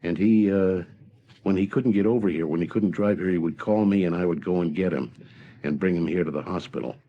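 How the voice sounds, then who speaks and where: A man's voice: low voice, a little fast. An older man recalling things conversationally, on dull archive audio. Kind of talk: conversational recollection.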